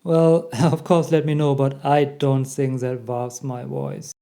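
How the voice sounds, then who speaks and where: Man, German accent, tutorial host, chatty, laughs often, mellow. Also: mid-range voice, flat delivery, slow pace, vocal fry. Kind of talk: casual screen-recorded tutorial.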